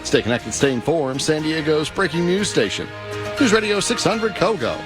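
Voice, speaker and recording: A man's voice: on the high side, big pitch swings, normal speed. An American man reading a scripted radio news bulletin as the anchor. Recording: radio broadcast with music underneath. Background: music bed.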